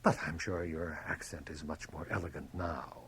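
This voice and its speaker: A man's voice: rumbling low, steady tone, moderate pace, fairly bright tone. An actor playing a bitter, cultivated middle-aged man in a radio drama, theatrical, precise and mocking. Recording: noisy cassette transfer of radio drama.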